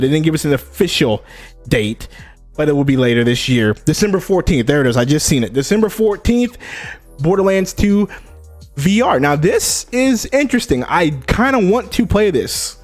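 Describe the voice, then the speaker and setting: A young man hosting a casual gaming news live stream, hyped and chatty, greeting viewers in chat by name. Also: fairly high pitch. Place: home streaming setup. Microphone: desk mic.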